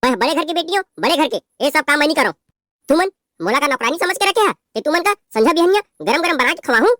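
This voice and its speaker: Super high bossy chhattisgarhi bahu, snapping and bragging, dry close sound. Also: expressive, fast talker, bright, forceful tone, no room sound. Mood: scolding. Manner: scolding, rude, boastful. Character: spoiled haughty young daughter-in-law, sharp-tongued. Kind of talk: cartoon voice acting.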